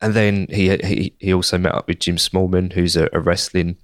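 Croaky low-voiced man, chatty guest. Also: flat delivery, moderate pace, no room sound, clean studio sound. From United Kingdom. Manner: chatty, rambling with restarts. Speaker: podcast guest. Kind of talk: casual interview answers.

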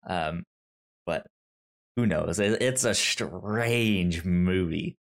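A man with a stammer talking casually and haltingly as a podcast co-host discussing movies. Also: low voice, vocal fry. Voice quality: stammer, repeating sounds and words. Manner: halting, rambling. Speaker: podcast co-host reviewing films.

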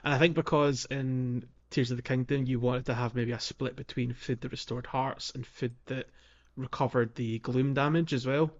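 A man's voice: mid-range voice, fairly even intonation, normal speed, hardly any pauses. A man co-hosting a podcast in casual conversation about video games, on a slightly dull recording. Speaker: podcast co-host. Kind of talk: casual two-man video game discussion.

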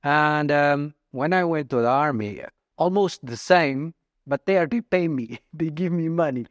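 A man in his early fifties from Portugal, a native Portuguese speaker, answering interview questions in halting English with many pauses and fillers. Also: fairly high pitch, animated, no room sound. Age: 50s. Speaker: guest.

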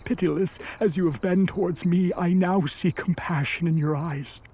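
An actor in a radio play voicing an embittered, vengeful created monster, with a husky voice and grandiose, formal delivery. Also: medium pitch, steady tone, darkish tone. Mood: solemn, persuasive. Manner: grandiose, theatrical, deliberate delivery. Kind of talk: radio play acting, formal archaic speech.

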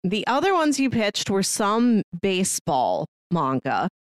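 A woman's voice: mid-range voice, sing-song, measured pace, no echo, clean studio sound. A woman co-hosting a podcast in casual conversation about manga, with a wry, joking way of talking. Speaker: podcast co-host.

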